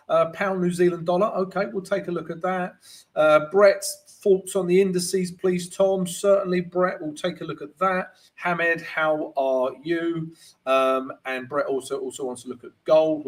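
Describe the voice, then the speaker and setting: A man with a gravelly voice today, hosting a live trading stream and walking viewers through charts. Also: sits high. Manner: animated, keeps asking "right?", greets chat viewers. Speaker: forex trading livestream host.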